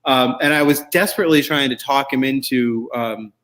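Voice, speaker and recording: A man's voice: mid-range voice, brisk, fairly bright tone. A man hosting a casual panel chat about choral music, joking and informal, with strong opinions. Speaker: show host.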